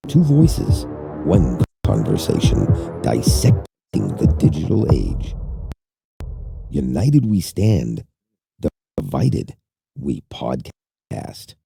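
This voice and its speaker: Deep gravelly trailer announcer man. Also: wildly swooping pitch, mellow. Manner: slow, drawn-out delivery with dramatic swoops. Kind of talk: dramatic movie-trailer voiceover. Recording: voiceover over music.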